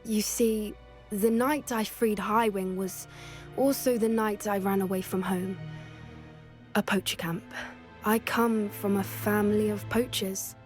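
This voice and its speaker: A woman playing an earnest, gentle teenage witch who loves animals in a video game, speaking articulately and softly eager with a British accent. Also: mid-range voice, fairly even intonation, unhurried, faint music behind, close to an everyday voice. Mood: confiding, reflective. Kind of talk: scripted video game dialogue acting.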